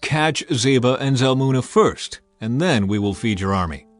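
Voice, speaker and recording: A man's voice: medium pitch, expressive, moderate pace, soft background music, natural voice. Man acting curt, scornful town official. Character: scornful male town officials, dismissive elders.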